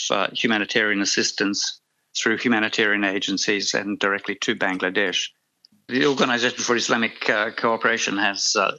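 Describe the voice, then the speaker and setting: Low, bright-toned man on a dull line. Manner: deliberate, hedging, with many restarts and fillers. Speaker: former ambassador and academic, guest. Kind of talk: expert commentary in an interview.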